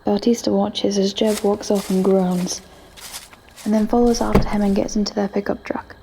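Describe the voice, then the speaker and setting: Female narrator, scripted storytelling, heavy vocal fry, mellow tone, faint noise behind. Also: mid-range voice, flat delivery, normal speed.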